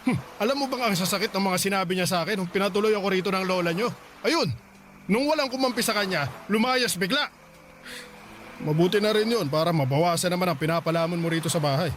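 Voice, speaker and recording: A man's voice: high-pitched, fast talker, a bit bright, a little hiss or hum. A man playing a shameless middle-aged father who talks smugly and mocks others in a radio drama. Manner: smug, mocking, laughing.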